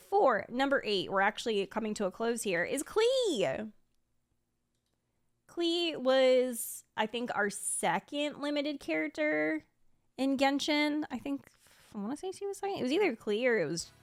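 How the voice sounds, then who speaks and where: A woman giving casual, gushing reaction commentary in a conversational, expressive way. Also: fairly high pitch, no room sound. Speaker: streamer ranking game character demos. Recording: music playing behind the voice. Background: background music.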